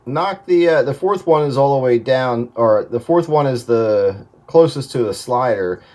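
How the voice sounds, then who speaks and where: A man's voice: medium pitch, animated, measured pace, darkish tone, a little hiss or hum. A man in a casual technical chat over the radio, advising on an audio setup in a hesitant, thinking-aloud way.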